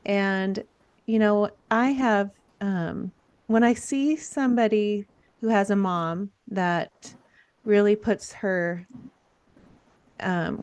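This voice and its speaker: A woman's voice: mid-range voice, a little mellow. A woman hosts an interview podcast, gushing openly about her guests. Her sentences wander and restart as she piles thought upon thought before landing on a question. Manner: effusive, rambling, restarts sentences. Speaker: podcast host. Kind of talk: casual interview.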